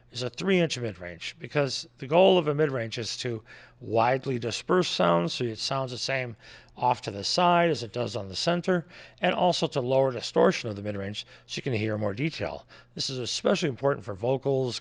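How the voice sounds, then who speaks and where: An American man with a noticeably gravelly, creaky voice at an ordinary male pitch. He talks through products informally, explaining things in a plain-spoken way like a distributor showing his range. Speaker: audio distributor presenting products.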